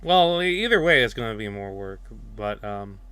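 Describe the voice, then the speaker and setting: A man's voice: lowish voice, big pitch swings, pushed and bright, smooth, no echo. A man hosting a work stream, chatting casually and hesitantly. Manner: hesitant, unhurried. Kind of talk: casual, halting livestream chat. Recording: faint music behind voice. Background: faint music.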